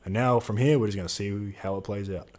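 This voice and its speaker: A man's voice: lowish voice, fairly even intonation, fast talker, darkish tone. Young man, casual live-stream chat, slangy, reads viewer questions. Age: 20s.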